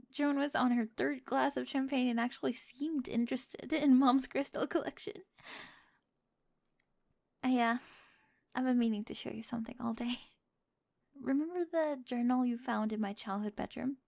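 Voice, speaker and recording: A woman's voice: on the high side, creaky. A woman playing a quirky, data-obsessed young bride in a scripted ASMR roleplay, speaking technical phrases with earnest, adoring precision. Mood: amused, gossipy, then tender, shy. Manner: precise, earnest recital of technical wording with giggly excitement.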